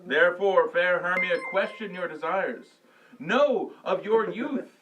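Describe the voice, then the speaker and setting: A man playing a stately noble duke in a live Shakespeare reading, measured and formal, a little distant from the microphone. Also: sits high, muffled recording, background noise. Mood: grave, judging.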